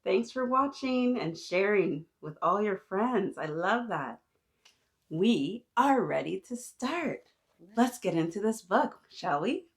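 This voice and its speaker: A woman's voice: mid-range voice, animated, slow pace. A woman hosting a picture book read-aloud for young children, encouraging and sing-song, often putting questions to her listeners.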